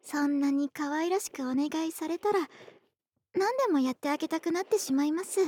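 A voice actress playing a polite, doting young woman next door in an intimate, soft-spoken ASMR roleplay. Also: high voice, a little fast, lightly acted. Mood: soothing, doting. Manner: soft-spoken, courteous, polite speech, affectionate. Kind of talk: Japanese ASMR situation voice drama, intimate roleplay. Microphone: close studio microphone, dry.

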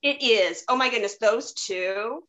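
A woman in a casual podcast chat, appreciative and halting as she recalls things. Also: on the high side, bright, forceful tone.

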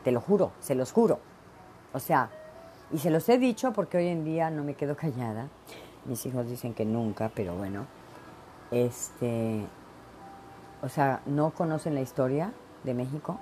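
A woman's voice: extremely low pitch, expressive, normal speed, pauses often, mellow, a little hiss or hum. A middle-aged woman from Veracruz, hosting her own show and giving blunt, emphatic opinions in a solo monologue full of fillers. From Mexico (Veracruz). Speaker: podcast host.

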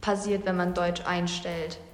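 A woman's voice: fairly low pitch, level tone, a little slow, soft background music. A young woman from Saxony with a slight Saxon accent, chatting casually in a podcast discussion and thinking aloud. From Saxony, Germany. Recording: echoey room with long reverb.

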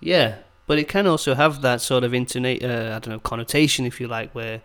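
Gravelly man hosting a chat. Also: mid-range voice, fairly bright tone, soft background music. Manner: switches between English and Danish, explains words slowly. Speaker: podcast host teaching Danish. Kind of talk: casual language-learning chat.

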